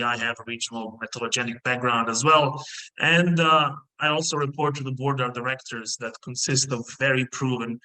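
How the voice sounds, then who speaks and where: Bright-voiced Bosnian-born executive, halting pace. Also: male voice, mid-range voice, rough recording. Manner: measured, many filler pauses. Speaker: mining company CEO, guest. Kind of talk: business interview over video call. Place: indoor room. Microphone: video call.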